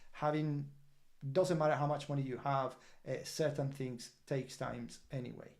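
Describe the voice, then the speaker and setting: A man who is not a native English speaker hosts a crypto project livestream update, answering viewer comments in an earnest, halting way with many fillers and restarts. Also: mid-range voice, fairly even intonation, gravelly. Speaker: crypto project team member hosting an update.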